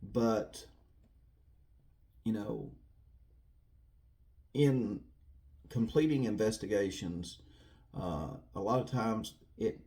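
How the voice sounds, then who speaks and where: Man, low voice, earnest, folksy, casual rambling commentary, repetitive phrasing. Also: mellow. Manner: earnest, folksy, repeats himself for emphasis. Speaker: true crime video host.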